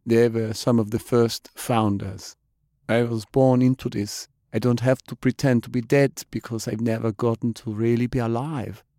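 A man voicing a bookish young woman healer who speaks calmly and matter-of-factly. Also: medium pitch, moderate pace, dry recording, studio quality, voice pushed up, close to an everyday voice. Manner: calm, matter-of-fact. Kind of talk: audiobook character voice.

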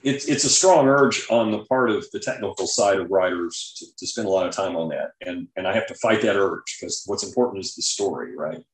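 A man's voice: deep voice, fairly quick, few pauses. A man speaking as an expert guest in a conversational interview, explaining things and often checking in with "right?". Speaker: guest author and scientist. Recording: roomy, echoing sound.

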